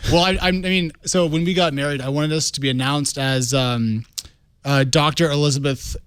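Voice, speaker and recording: Slightly high, hesitant male guest. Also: steady tone, brisk, fairly bright tone, smooth, dry recording. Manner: bashful, hesitant, many fillers. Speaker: financial reporter, guest. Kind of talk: panel chat.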